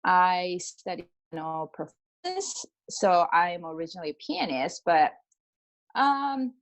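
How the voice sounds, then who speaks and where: A woman's voice: fairly low pitch, very animated, takes it slowly, poor audio. A middle-aged woman from Japan with a Japanese accent, telling personal stories casually and informally as an interview guest. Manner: informal, peppers talk with "like" and acts out quoted exchanges. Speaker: guest, pianist and energy healer.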